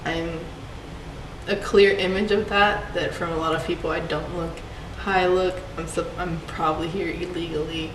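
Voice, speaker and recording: A woman's voice: fairly low pitch, level tone, moderate pace. Chatty young woman from Puerto Rico. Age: young adult. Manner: chatty, frequent fillers with "like". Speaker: student. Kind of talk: personal storytelling for a class project. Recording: echoey, distant mic, background noise.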